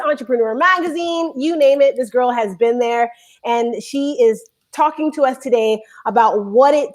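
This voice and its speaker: A woman hosting a live online talk show, bubbly and rambling, talking in quick bursts. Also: a little high, darkish tone. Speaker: show host.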